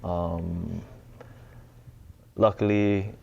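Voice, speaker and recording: A young man speaking as a guest on a TV studio panel, calm and earnest, steady with fillers. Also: sits low, extremely slow, creaky, highs cut off. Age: young adult. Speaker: student and teacher, panel guest.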